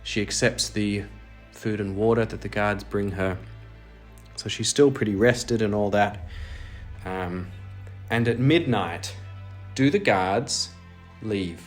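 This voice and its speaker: A man with a lowish voice narrates a solo role-playing story. He switches between written prose and casual commentary on the game, with soft music behind him. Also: slow, pauses often. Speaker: host and solo role-player of an audio drama.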